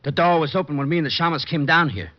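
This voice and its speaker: An actor playing an eager police underling in an old-time radio drama. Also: male voice, a little high, quick pace, close to an everyday voice. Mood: chatty. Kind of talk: 1940s-50s radio acting. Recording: dull vintage radio recording.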